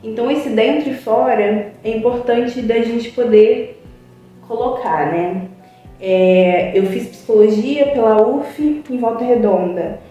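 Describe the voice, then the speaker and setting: A young woman, a psychologist, talks in a mellow, fairly high voice, earnest and reflective, often pausing on a drawn-out filler. She sits back from the mic in a strongly echoing room with faint music underneath. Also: recorded from a distance, highs cut off. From Brazil. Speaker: psychologist guest. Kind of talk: informal spoken talk to a web TV channel.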